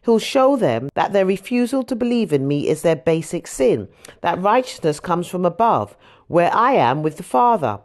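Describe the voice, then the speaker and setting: A woman reading scripture aloud as scripted audiobook narration, with an expressive storytelling delivery. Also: lowish voice, moderate pace. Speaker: audiobook reader.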